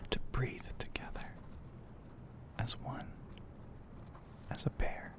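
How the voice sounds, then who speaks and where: A man narrating an ASMR story in a breathy, hushed whisper, poetic and full of pauses, close to the microphone. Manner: slow, hushed, poetic delivery with frequent pauses.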